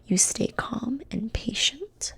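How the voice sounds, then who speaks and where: A young woman whispering softly in an ASMR personality-quiz roleplay, slow and soothing, often repeating phrases. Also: on the high side, animated. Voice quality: breathy. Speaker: ASMR host.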